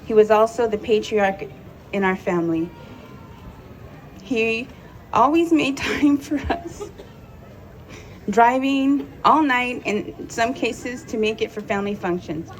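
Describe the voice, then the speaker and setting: A woman reading a prepared tribute at a memorial in a measured way. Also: on the high side, animated, faint music behind, rough recording. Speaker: memorial speaker. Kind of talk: read tribute.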